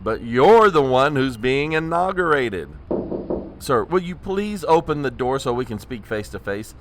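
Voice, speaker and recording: A male actor plays a prim, nervous political aide in a comic audio drama. His formal speech trips into stammers and his pitch leaps about in a lively way. There is faint noise behind him. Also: measured pace, natural voice. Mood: exasperated. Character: flustered, proper male chief of staff. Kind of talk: comedic audio drama acting.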